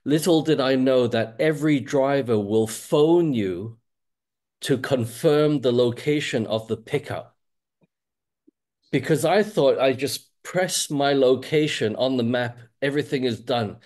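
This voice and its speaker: A man's voice: medium pitch, a little slow, a little mellow. A man speaking as a guest on a video call, telling an easygoing, wry anecdote. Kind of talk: casual anecdote.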